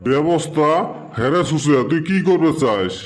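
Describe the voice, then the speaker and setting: A man's voice: fairly high pitch, animated, measured pace. Man acting a sarcastic, grumbling village husband. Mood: dismayed. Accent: rural northern Bengali dialect of the Assam-Bengal border. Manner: sarcastic, muttering complaints. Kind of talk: comedy cartoon dialogue.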